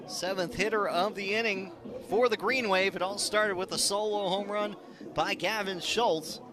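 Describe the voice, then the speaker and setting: A man's voice: sits high, steady tone, normal speed, a crowd in the background. A man calling a live baseball game on the radio as play-by-play announcer, in clipped, descriptive phrases. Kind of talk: live radio sports commentary.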